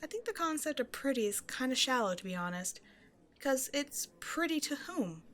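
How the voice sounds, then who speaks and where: A woman's voice: high-pitched, very animated, normal speed, fairly bright tone. A woman playing a shy, self-doubting young woman who rambles hesitantly in an intimate one-sided roleplay conversation with a stranger. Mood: awkward, musing. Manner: hesitant, apologetic, nervously overthinking, giggly.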